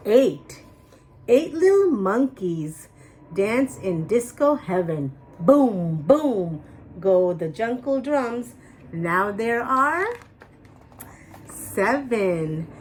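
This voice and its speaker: A woman hosting a children's storytime, reading rhymes aloud expressively with a sing-song delivery and emphasized sound words. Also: medium pitch. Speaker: storytime host reading picture books aloud.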